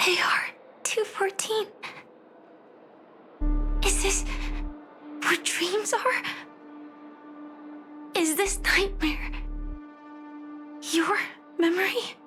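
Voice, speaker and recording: An actress playing a solemn, wistful young female soldier in a video game, with a soft, halting delivery. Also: very high voice, faint music behind, full character voice. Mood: dazed.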